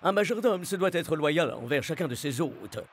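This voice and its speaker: Dignified cartoon butler, male, formal and precise, fairly high pitch. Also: animated, normal speed, husky. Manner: formal, precise, stiffly polite.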